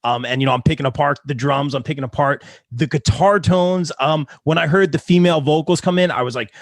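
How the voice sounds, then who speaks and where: A man's voice: on the high side, fairly quick, no echo. A male host in a casual interview, enthusiastic and hyped, calling his guest "man".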